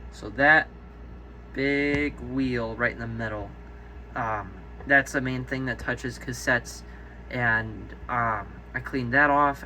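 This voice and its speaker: A man with an average-pitched voice talks through a casual show-and-tell for his viewers. He rambles, stops and restarts, often correcting himself mid-sentence. The recording is plainly noisy, with the ambient sound of an indoor room. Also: pushed and bright. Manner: rambling, halting, self-correcting. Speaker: hobbyist video maker. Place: indoors, room with audio gear.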